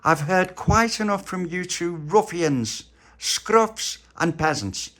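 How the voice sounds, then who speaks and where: Brief: man doing a bossy child queen, imperious, swooping high. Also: lively intonation, slow, fairly bright tone, full character voice. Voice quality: pompous, imperious. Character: bossy little girl playing a haughty queen.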